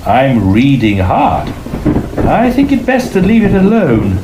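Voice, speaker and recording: An actor playing a modest, polite young Victorian Oxford student with a British accent, narrating an audio play a little hesitantly. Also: male voice, mid-range voice, huge pitch swings, slow, heavy background noise. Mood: friendly, curious. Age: young adult. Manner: hesitant, polite, chatty old-fashioned phrasing. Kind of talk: dramatised first-person audio play narration.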